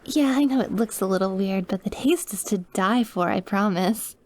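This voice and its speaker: A woman playing a sweet, awkward, wistful young woman who is dying and quietly obsessive, in a soft, hesitant, intimate ASMR roleplay. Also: mid-range voice, normal speed, a little hiss or hum, close to an everyday voice. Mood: shy, nervous. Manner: soft, hesitant, gushing, at times dropping to breathy murmurs. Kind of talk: ASMR audio roleplay, intimate one-sided dialogue.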